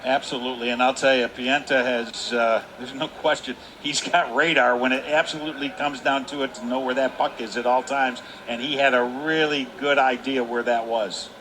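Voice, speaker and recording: Male colour analyst for live hockey, sharp punchy tone, emphatic and unscripted, slight background noise. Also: medium pitch, steady tone, moderate pace, hardly any pauses. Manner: emphatic, repeats words for stress. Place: indoor ice hockey arena. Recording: faint noise of live rink.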